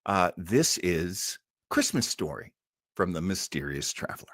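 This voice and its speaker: A man hosting a livestream, chatting casually to his audience as an enthusiastic, animated fan. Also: sits low, unhurried, no room sound, clean studio sound. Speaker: livestream host. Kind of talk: casual chat to live audience.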